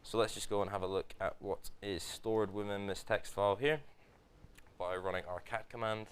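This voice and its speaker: A man's voice: lowish voice, normal speed, clean tone. A young man presenting a hands-on tech workshop to a live audience, talking through each step with fillers. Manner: steady step-by-step explaining with frequent fillers. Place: office event room with live audience.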